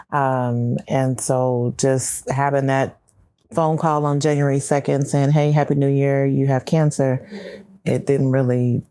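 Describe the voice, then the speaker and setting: Middle-aged American woman, plain-spoken panelist, deep mellow tone, personal testimony. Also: smooth, no room sound. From Memphis, Tennessee, United States. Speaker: round-table panelist, cancer survivor. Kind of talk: personal testimony in a round-table talk.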